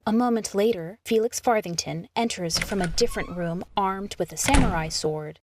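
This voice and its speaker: A woman's voice: mid-range voice, moderate pace, heavy background noise. A woman narrator delivering scripted stage directions for an audio play.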